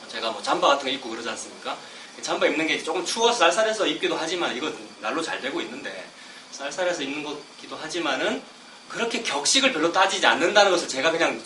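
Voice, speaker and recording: Middle-aged male pastor, sermon with a cold, chatty asides, distant mic, echoey church, steady background noise. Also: medium pitch. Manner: conversational, self-deprecating asides between slow scripture reading. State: has a cold, coughing. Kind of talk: expository sermon. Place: church sanctuary.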